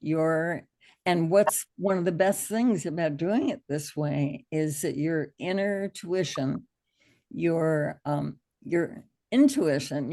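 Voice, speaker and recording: A middle-aged American woman with a gravelly, hoarse allergy voice, informally teaching an online hypnosis class in an encouraging, reassuring way. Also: low-pitched, dark tone.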